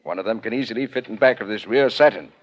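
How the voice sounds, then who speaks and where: A man's voice: lowish voice, fast, close to an everyday voice. An actor playing a proud, sly craftsman of stage illusions, with formal, theatrical phrasing in a 1940s radio drama. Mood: proud, showy. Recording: dull, lo-fi 1940s radio recording.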